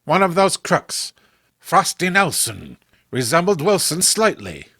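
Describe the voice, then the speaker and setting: Guy doing a bossy police commissioner telling a case, sharp brightish higher voice, horror comic style. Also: big pitch swings, measured pace, husky, dry recording, clean studio sound, heavily acted. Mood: matter-of-fact. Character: authoritative veteran police commissioner recounting a strange case. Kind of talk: dramatic storytelling, horror comic voice acting.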